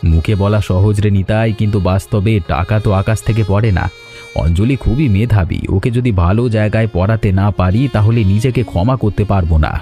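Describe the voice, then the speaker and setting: A man voicing an earnest, protective big brother who works hard at a garage, in an animated story. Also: on the low side, normal speed, soft background music, lightly acted. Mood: worried. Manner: earnest, familiar.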